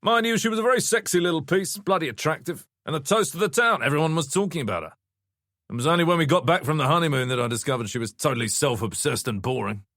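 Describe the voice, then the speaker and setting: A man's voice: on the high side, expressive, fast talker, a bit bright, no echo, clean studio sound, pitched above the performer's own voice. A man voicing a brash, raffish rich man in his fifties, breezy, boastful and bluntly scornful, in an audiobook story. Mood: amused scorn.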